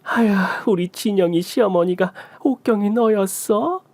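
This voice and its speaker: A woman's voice: medium pitch, unhurried, a little mellow, dry recording, pitched above the performer's own voice. A man voicing a tearful middle-aged woman, a long-lost mother, in a radio story. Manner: choked, emotional.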